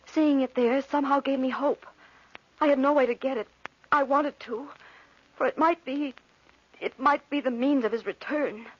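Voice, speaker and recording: An actress playing an anxious young bride in a 1940s radio drama, emotional and breathless, with a husky, breathy voice. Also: female voice, high-pitched, fairly even intonation, moderate pace, long pauses, close to an everyday voice. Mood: fearful longing. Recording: muffled old radio recording.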